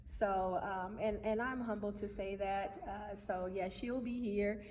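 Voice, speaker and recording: A woman's voice: mid-range voice, steady tone, measured pace, darkish tone. Woman interviewee in an echoey hall. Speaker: playwright interviewed about her stage play. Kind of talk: casual interview talk. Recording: echoey hall, distant mic, faint noise.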